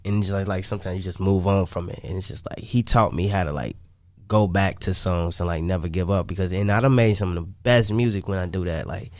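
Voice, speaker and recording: A man's voice: sits low, fairly even intonation, a little fast, a little mellow, dry recording, studio quality. A man chatting casually as a musician guest on a radio interview, slangy and repeating himself. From Chicago, United States. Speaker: musician guest, rapper and songwriter. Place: radio studio.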